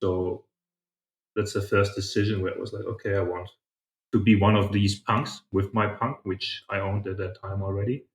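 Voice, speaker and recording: A man speaks as an interview guest in a low voice. He is conversational and reflective, and the recording is noticeably roomy and echoey. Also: little pitch movement, moderate pace. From southern Germany, partly grew up in China. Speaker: podcast guest, startup founder. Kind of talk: casual interview answers.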